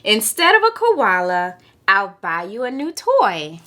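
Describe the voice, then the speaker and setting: A woman voicing a caring mother in a children's story, gentle and reasoning. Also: medium pitch, huge pitch swings, unhurried, full character voice.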